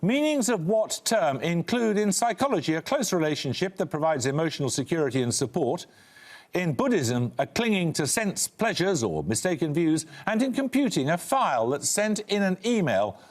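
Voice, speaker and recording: Lively, dry-witted male quizmaster. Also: on the high side, moderate pace. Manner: crisp, dry and authoritative, with wry asides. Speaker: quiz show host. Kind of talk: formal quiz hosting and scripted introductions. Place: television studio.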